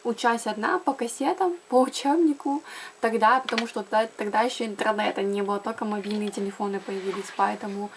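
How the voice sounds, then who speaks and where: A woman's voice: mid-range voice, brisk, noisy background. A young woman from Karelia chatting casually to camera about learning languages, with frequent fillers and self-corrections. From Karelia, Russia. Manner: chatty, lots of fillers and restarts. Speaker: language blogger.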